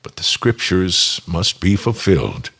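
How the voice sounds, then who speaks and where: Solemn arrested teacher, acted by a man: deep, husky, measured, firm, for a dramatic Bible reading. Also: very animated, bright, forceful tone, full character voice. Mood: firm reproach.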